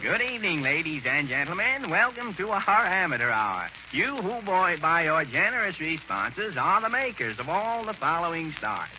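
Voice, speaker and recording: Man putting on a high, showy old radio emcee. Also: big pitch swings, normal speed, hardly any pauses, over music. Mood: genial showmanship. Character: impression of a genial amateur-hour radio host. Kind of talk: 1930s radio comedy impersonation. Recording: noisy, dull 1930s radio recording.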